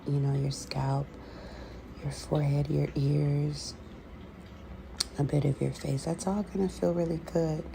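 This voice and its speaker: A woman speaks in a low register with very heavy, gravelly fry. She takes her time, explaining in a conversational, halting way as an ASMR voiceover host, with pauses between phrases. Clear ambient noise sits behind her voice. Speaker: host, scratch therapy practitioner. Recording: clearly audible background noise.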